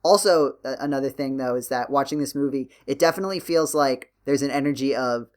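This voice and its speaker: A man's voice: mid-range voice, brisk. A man hosting a casual podcast discussion, explaining his thoughts in a rambling, hesitant way. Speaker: podcast host.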